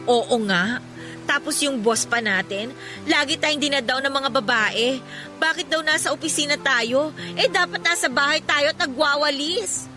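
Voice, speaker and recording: A woman's voice: high-pitched, moderate pace, music in the background, lightly acted. An actress playing a sympathetic yet scheming female office friend, chatty and seeking agreement, in a Filipino radio drama. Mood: resigned, bitter.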